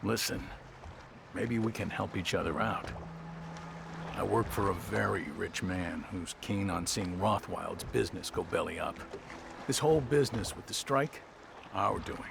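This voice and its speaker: Very gravelly, shifty small-time schemer. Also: male voice, deep voice, measured pace, noisy background, exaggerated voice. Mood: scheming, wheedling. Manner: wheedling, street-wise, informal. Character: nervous, scheming small-time male informant. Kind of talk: scripted video game dialogue.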